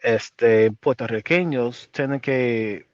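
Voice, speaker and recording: A man's voice: medium pitch, no echo. American man, dull dry recording. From Chicago, United States. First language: English. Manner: halting, self-correcting second-language speech. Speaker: interview guest. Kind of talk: casual conversational interview in learned Spanish.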